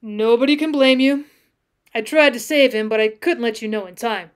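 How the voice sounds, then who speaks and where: A woman acts out a young cowboy in a storybook tale. He is folksy and insistent, full of mock sorrow and earnest bluff, in a high-set voice. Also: expressive, fairly quick, lightly acted. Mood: mock mournful. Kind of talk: acted storybook dialogue.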